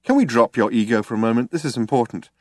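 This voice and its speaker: Guy doing a quiet patient woman for an audiobook, matter of fact, bit weary, dry sound. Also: mid-range voice, expressive, fast, no room sound, natural voice. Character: calm, patient, weary clever young woman. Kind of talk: audiobook character voice.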